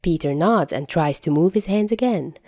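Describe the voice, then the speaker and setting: A woman's voice: lowish voice, lively intonation, moderate pace, darkish tone, no room sound, studio quality. A woman reading scripted fiction aloud as the narrator. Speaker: podfic narrator.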